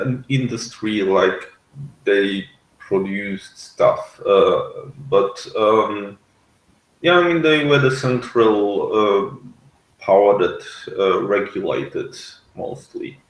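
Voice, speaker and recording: A man talks casually in a roomy, echoing recording. His voice sits low and his delivery is very slow. He hesitates often, feeling for the next word as he puts his points together. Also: animated. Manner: hesitant, halting, searching for words. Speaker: podcast reviewer and discussion panelist. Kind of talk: casual discussion.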